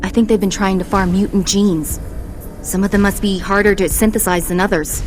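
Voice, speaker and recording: An actress playing a brash, no-nonsense young mutant rebel in a video game, brisk and blunt in her delivery. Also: mid-range voice, fairly even intonation, lightly acted. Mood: determined. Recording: loud game music behind. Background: game music.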